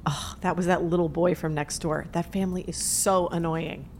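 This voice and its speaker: A woman's voice: lowish voice, steady tone, normal speed, slight background noise, lightly acted. A woman playing a skeptical, sarcastic hometown returnee in an audio drama. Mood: dry, casual. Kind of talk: naturalistic audio drama acting.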